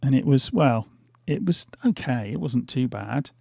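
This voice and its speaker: A middle-aged man talks over his gameplay in a loose, hesitant ramble full of fillers. His voice is gravelly and fraying because he keeps losing it. The sound is dry and close, with no room around it. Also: mid-range voice, animated, a little slow, a little mellow, dry recording. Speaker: gaming channel host. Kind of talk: casual gameplay commentary. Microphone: headphones on, desk mic.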